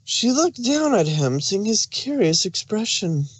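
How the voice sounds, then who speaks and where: A man's voice: sits high, sing-song, measured pace, breathy edge, dry recording. A man reading scripted story narration as the narrator.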